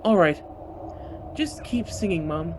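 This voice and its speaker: A man's voice: a little high, slow pace, slight background noise. An actor in a radio play voicing a dazed, yearning son entranced by his mother's apparition. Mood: entranced, tender. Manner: hesitant, dreamy, questioning. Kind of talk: naturalistic radio play acting.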